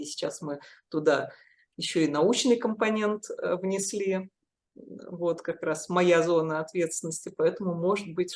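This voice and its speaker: A woman's voice: low voice, darkish tone. A woman hosting a professional interview, speaking articulately in long, structured sentences. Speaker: interviewer, deputy editor of a journal.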